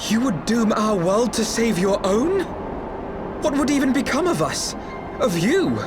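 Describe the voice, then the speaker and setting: A man's voice: extremely high pitch, animated, a little slow. An actor playing an earnest young nobleman in a game cutscene, with a formal, archaic and articulate way of speaking. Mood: indignant, disbelieving. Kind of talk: dramatic video game cutscene acting. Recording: music under the voice.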